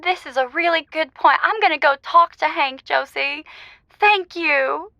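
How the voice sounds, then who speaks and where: A woman playing an earnest, naive, distressed caller to a comedy advice show, speaking in a halting, plaintive way. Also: sits high, gravelly, bright, forceful tone, no room sound, exaggerated voice. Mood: earnest, resolved. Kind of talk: improvised comedy phone-in.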